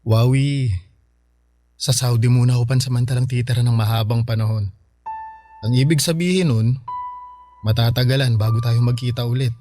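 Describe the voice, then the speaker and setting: A man playing a gentle, reassuring uncle in a Filipino radio drama. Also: medium pitch, steady tone, normal speed, pauses often, soft background music, lightly acted. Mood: gently regretful. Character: gentle, reassuring uncle leaving to work abroad.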